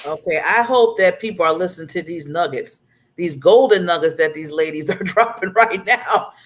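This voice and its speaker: A woman's voice: lowish voice, moderate pace. A woman hosting a talk show who speaks with emphatic, colloquial enthusiasm and laughs often.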